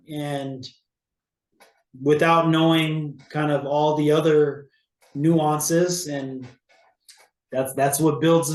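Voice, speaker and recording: Rambling man in an echoey room. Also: on the high side. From Hawaii. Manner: rambling, many restarts and fillers. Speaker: guest, real estate agent. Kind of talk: casual interview talk.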